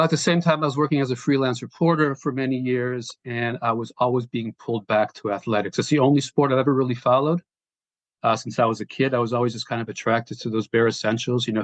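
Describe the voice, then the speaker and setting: A middle-aged man with an American accent, giving a measured, reflective, conversational account as an interview guest. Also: mid-range voice, no room sound. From United States, born in Slovenia. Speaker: interview guest, sports sustainability executive.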